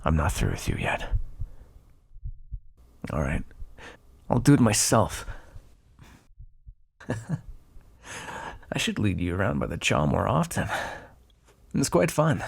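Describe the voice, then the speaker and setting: An actor playing a flirtatious, smugly predatory yet caretaking male vampire in an intimate ASMR roleplay, with a breathy voice and teasing asides. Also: low voice, huge pitch swings, slow pace, long pauses, full character voice. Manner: teasing, drawling asides with soft sighs and chuckles. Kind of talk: ASMR roleplay, intimate second-person acting.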